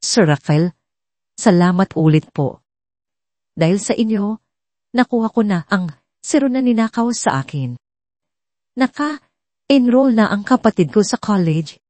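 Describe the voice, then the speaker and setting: A woman's voice: medium pitch, animated, measured pace, long pauses, a little mellow, no room sound, pitched above the performer's own voice. A woman voicing a humble, grateful young woman in a dramatic story, polite and earnest toward her superior.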